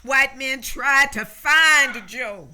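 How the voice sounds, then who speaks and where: Slow, bright-voiced storyteller woman. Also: high voice, a little hiss or hum. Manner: solemn, emphatic, intense. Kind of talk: dramatic radio narration.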